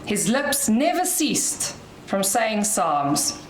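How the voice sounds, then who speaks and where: Loud, slow-speaking South African woman. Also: mid-range voice, very animated, echoey room, a little hiss or hum, rough recording. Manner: loud voice, chatty with light laughs. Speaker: guest speaker at a community dinner. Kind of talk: inspirational talk to an audience.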